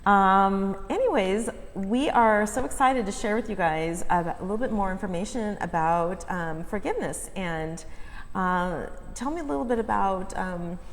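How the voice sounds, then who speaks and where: An American woman hosts a casual interview with a mid-range voice. She speaks haltingly and gushes a little as she introduces things. Long reverb from a hall surrounds her. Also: medium pitch. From California, United States. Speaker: host.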